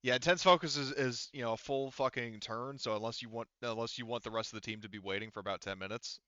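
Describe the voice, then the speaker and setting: A man's voice: mid-range voice, fast, sharp tone, smooth, no echo, dull audio. A man running a tabletop roleplaying game as game master, talking casually, thinking aloud and swearing freely.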